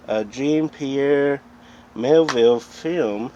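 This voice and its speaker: A man's voice: mid-range voice, very slow, dark tone. Man rambling casually, dull lo-fi recording. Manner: rambling, thinking aloud as he looks over items. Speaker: host of a video channel about film collecting. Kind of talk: casual unscripted haul vlog chat. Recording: dull lo-fi sound with background noise.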